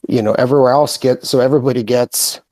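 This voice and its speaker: A man with an American accent giving earnest opinions in a casual, halting way in an online group chat. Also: mid-range voice, fairly even intonation, a little mellow, no echo. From born in Russia, raised in the United States from age five. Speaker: guest speaker in online group audio chat.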